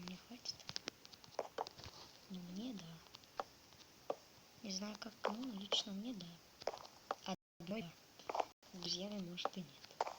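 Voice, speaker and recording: A woman who is a little ill talks in a low, half-whispered murmur, as if to herself. Her sore throat shows in her voice, and her pitch sits mid-range for a woman. Loud, steady noise runs under the recording. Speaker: gamer narrating her play. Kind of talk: casual let's-play commentary.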